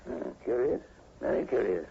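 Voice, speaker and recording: An actor playing an inquisitive, deliberate professor with hesitant, precise questioning in a 1940s radio horror play. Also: male voice, mid-range voice, lively intonation, creaky. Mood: musing, probing. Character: inquisitive, deliberate male university professor, probing investigator. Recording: muffled, hissy 1940s radio recording.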